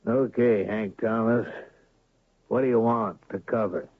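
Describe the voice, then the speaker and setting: An actor playing a crooked, domineering town boss in an old radio drama, talking in a blustering, threatening way. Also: male voice, on the low side, fairly even intonation, unhurried, slightly rough, no echo. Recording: tinny lo-fi old radio broadcast.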